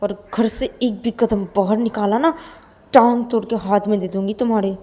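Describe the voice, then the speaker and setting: A woman's voice: medium pitch, fairly even intonation, moderate pace, lightly acted. A woman voicing a stern young woman who scolds and threatens with bossy questions in an audio story. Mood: angry, scolding. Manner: bossy, sharp rhetorical questioning.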